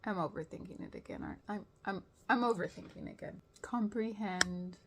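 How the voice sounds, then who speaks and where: A woman giving casual reaction commentary as a show host, expressive and playfully dramatic, with a self-deprecating way of talking. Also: medium pitch, moderate pace, background noise.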